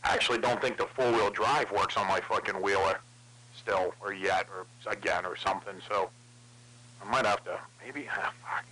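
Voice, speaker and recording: Male CB chatter, lowish but bright and edgy, profane and rambling, slight radio noise. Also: moderate pace. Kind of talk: casual chat over CB radio. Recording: crackly CB radio with clicks.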